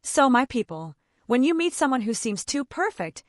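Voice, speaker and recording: A woman storyteller narrating a scripted folktale in a theatrical, suspenseful manner with short measured phrases. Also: high-pitched, very animated, dry recording, clean studio sound.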